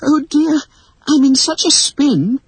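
A storybook narrator voicing an excited, chattering young girl with a gushing, emphatic manner. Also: medium pitch, unhurried, husky, dry recording, pitched above the performer's own voice, rough recording. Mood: flustered. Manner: gushing, breathless, emphatic exclamations. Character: excited, chattering young girl, warm-hearted and wide-eyed. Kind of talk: acted storybook dialogue.

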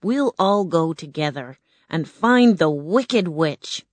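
A narrator voicing a gentle tin man in a calm, plain voice. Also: medium pitch, slow, dry recording.

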